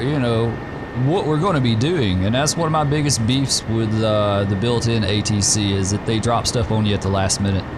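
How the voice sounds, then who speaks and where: A slightly hoarse man hosting a flight sim stream, talking in a relaxed, rambling way with many fillers. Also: mid-range voice, background noise. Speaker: gaming channel host. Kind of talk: casual gameplay commentary.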